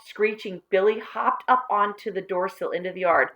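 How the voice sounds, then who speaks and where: A woman reading a children's story aloud, with dramatic, deliberate phrasing and expressive narration. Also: mid-range voice, dull audio.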